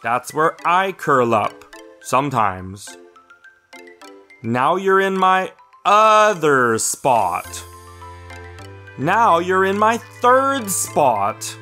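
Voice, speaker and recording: A man's voice: a little high, drawn-out words, pauses often, faint music behind, voice pushed up, exaggerated voice. A man voicing a grumpy, dramatic, easily spooked house cat for a children's storybook read-aloud. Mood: disgruntled, weary. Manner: deadpan grumbling with melodramatic outbursts.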